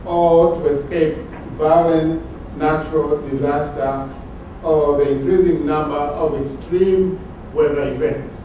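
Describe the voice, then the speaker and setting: A man from Tanzania giving an academic conference talk, measured and sometimes repeating words as he searches for phrasing. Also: a little high, mellow, a little hiss or hum. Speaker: conference speaker. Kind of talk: academic lecture. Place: large conference hall. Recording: very echoey hall from far off.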